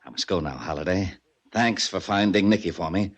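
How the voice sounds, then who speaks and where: An actor playing a cold-blooded syndicate boss in a 1940s radio drama, mock-courteous and menacing. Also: male voice, sits low, brisk, husky. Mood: cold, smug. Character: smooth-talking, cold-blooded male gambling syndicate boss.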